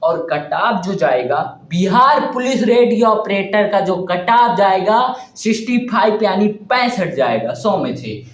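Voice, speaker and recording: A man's voice: high voice, sing-song, measured pace, few pauses. Young man in an echoey room. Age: young adult. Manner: emphatic, repeats phrases for effect. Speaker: exam preparation vlogger. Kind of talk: direct address to exam candidates. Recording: roomy, echoing indoor sound.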